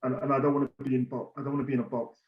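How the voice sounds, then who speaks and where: A man's voice: mid-range voice, level tone, very fast. A British man speaking earnestly on a panel, repeating words as he stresses his points. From United Kingdom. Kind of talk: panel discussion. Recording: echoey, muffled room sound.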